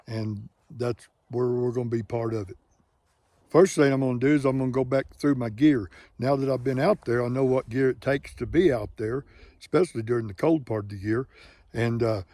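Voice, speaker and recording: A plainspoken man in his late sixties talks straight to his viewers in a folksy, rambling manner. His voice sits at an ordinary middle pitch. The sound is close and dry, with no room around it. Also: warm tone, dry recording. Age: 60s. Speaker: hiking vlogger. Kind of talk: candid casual talk to camera. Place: living in Colorado.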